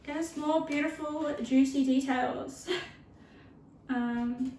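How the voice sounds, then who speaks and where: A woman giving a casual tarot reading, chatty and rambling with lots of fillers, her voice turning a little croaky. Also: high-pitched, steady tone, slow pace, warm tone, roomy sound, far from the mic, slight background noise, low-quality recording. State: voice getting croaky from thirst. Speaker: tarot reader.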